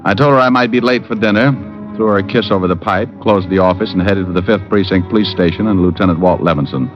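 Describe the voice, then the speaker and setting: A man's voice: low voice, fast, close to an everyday voice. An actor playing a wisecracking, flirtatious private detective in a 1950s radio drama, dry and glib as he narrates and banters. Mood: wry narrating. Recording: muffled old radio broadcast with music.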